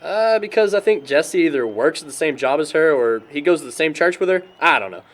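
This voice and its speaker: A man's voice: on the high side, lively intonation, fairly quick, lightly acted. A man playing an easygoing young party host who jokes and gossips in an amateur audio drama. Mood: casual.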